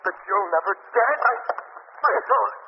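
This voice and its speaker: An actor playing a desperate husband who hides a dark secret, talking urgently and turning frantic, in 1940s radio acting. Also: male voice, very high voice, moderate pace, vocal fry. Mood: furious. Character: desperate middle-aged husband hiding a terrible secret. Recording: noisy, muffled old radio recording.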